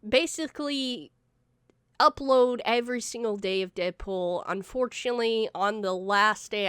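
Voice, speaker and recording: A woman's voice: a little high, a bit bright, smooth, dry recording, studio quality. A young woman with a stuffy nose, hosting a gaming channel and giving her viewers a rambling, casual update full of fillers. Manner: rambling, many fillers and restarts.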